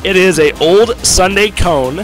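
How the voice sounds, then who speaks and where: A man with a rough, hoarse voice from being sick, commentating a fighting game tournament and breaking down combos. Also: high voice, music in the background, rough recording. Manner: fast, analytical breakdowns of combos. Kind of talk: casual esports commentary.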